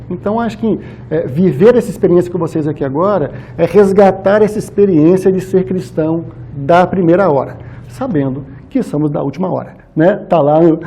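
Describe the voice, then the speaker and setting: High-pitched, very mellow Brazilian man lecturing. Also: husky. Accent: Brazilian Portuguese, Minas Gerais. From Minas Gerais, Brazil. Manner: conversational, colloquial, with frequent tag questions. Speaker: speaker at a spiritist society. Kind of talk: informal religious lecture to an audience.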